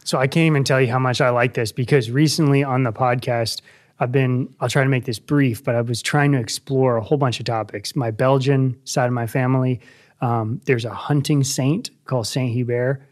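A man hosting a podcast, telling a long story with many fillers and pauses. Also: medium pitch, fairly even intonation. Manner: rambling, filler-heavy. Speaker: podcast host. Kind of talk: casual interview.

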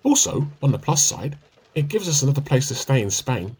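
A man's voice: mid-range voice, very animated, a little fast, a little mellow, slightly rough. An amateur actor, a man, playing an easygoing, evasive husband in a conversational domestic radio play. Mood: easygoing banter. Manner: conversational, bantering. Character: easygoing, evasive husband, bad at keeping secrets.

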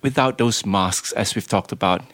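A man's voice: lowish voice, normal speed, a bit bright, no echo. A man from Malaysia, a podcast guest, talking in a humble, emotional, self-deprecating way. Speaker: guest, production manager. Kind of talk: casual podcast chat.

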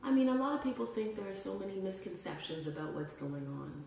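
A woman's voice: mid-range voice, big pitch swings, quick pace, creaky, a little mellow, a little hiss or hum. A woman from Canada hosting a podcast, speaking in an earnest, emphatic, preacher-like way as she delivers a motivational spiritual monologue. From Canada, with family from Jamaica. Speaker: podcast host. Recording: roomy echo, distant mic, music behind. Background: music.

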